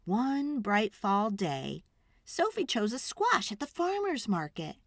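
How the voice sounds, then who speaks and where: A woman reading a children's storybook aloud in a dramatic, expressive and deliberate narration. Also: a little high, dry recording, studio quality.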